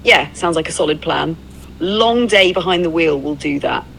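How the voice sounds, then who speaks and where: A man's voice: a little high, lively intonation, normal speed, a bit bright, a little hiss or hum, low-quality recording. A synthetic male voice chatting casually and agreeably as an encouraging road-trip companion. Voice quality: creaky synthetic voice. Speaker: AI companion playing a documentarian. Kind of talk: casual roleplay chat.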